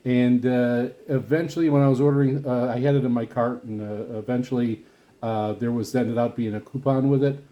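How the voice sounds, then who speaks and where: A man giving casual, unscripted product commentary as a video host, rambling haltingly and often repeating and restarting his phrases. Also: medium pitch, fairly even intonation, lo-fi sound. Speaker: video host reviewing radio gear.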